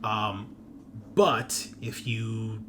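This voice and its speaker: Guy running a tabletop rpg, lowish voice, kinda halting storyteller, noisy recording. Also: fairly even intonation. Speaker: game master. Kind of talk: narrating a tabletop roleplaying game. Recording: clear background noise.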